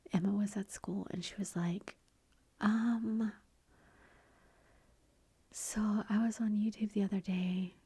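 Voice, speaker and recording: A middle-aged woman chatting in a soft, rambling whisper for her ASMR channel, confiding and talkative. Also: medium pitch, husky. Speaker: ASMR YouTuber.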